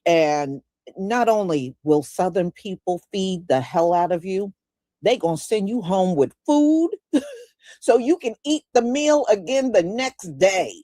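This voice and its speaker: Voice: mid-range voice, expressive, unhurried, dark tone, no echo. Folksy talk-show host, dry close sound. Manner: folksy, storytelling, conversational asides. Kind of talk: casual commentary.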